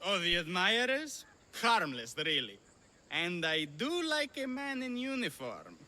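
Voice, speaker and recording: An actor playing a drunk, lovesick, theatrical stage performer in a video game cutscene, with a flamboyant, tipsy manner. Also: male voice, high-pitched, huge pitch swings, unhurried, sharp tone, exaggerated voice. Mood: dreamy, pleading.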